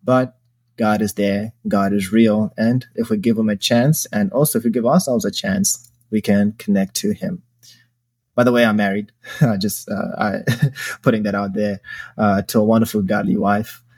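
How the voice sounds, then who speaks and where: Guy from zimbabwe on a podcast, kinda low voice, earnest casual chat about himself. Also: fairly even intonation, moderate pace, a little mellow, breathy edge. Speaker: podcast host. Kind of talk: personal testimony in casual podcast talk.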